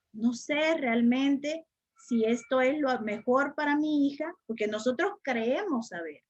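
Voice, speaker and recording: A woman's voice: sits high, dull audio. A woman teaching a spiritual study class, speaking reflectively and repeating phrases for emphasis. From El Salvador.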